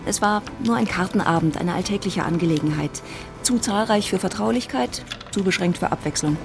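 A woman's voice: mid-range voice, brisk, gravelly, music in the background. A woman narrating a literary radio drama in a scripted storytelling style.

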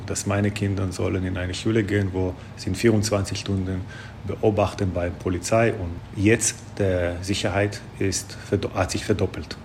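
Low gravelly Israeli man, noisy location. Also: a little mellow. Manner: non-native German grammar. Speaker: interviewee, restaurant owner. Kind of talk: spontaneous interview statement. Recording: noisy location interview with ambience.

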